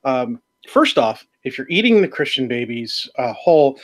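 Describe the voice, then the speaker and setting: A man's voice: medium pitch, big pitch swings, moderate pace. A man co-hosting a casual podcast, ranting with sarcastic jokes. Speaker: podcast co-host. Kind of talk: casual round-table chat.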